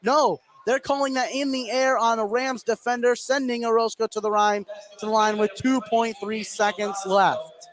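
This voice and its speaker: A man's voice: very high voice, steady tone, normal speed. An American man doing live play-by-play commentary of a basketball game. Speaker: play-by-play announcer. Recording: clear gym noise behind live commentary. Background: noisy basketball gym.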